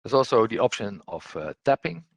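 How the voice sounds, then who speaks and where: A man with a low-pitched, rough-edged voice walks through software as an instructor. His delivery is halting and hesitant, with words often repeated as he explains. The sound is dry and close. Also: big pitch swings, no echo. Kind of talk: unscripted screen-share software tutorial.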